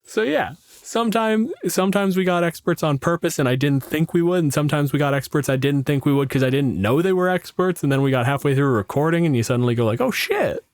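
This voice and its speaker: A man's voice: fairly high pitch, quick pace, hardly any pauses, no room sound. A young man chatting casually as a podcast co-host, self-deprecating and sweary, telling stories with quoted asides. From Canada. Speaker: podcast co-host and game master.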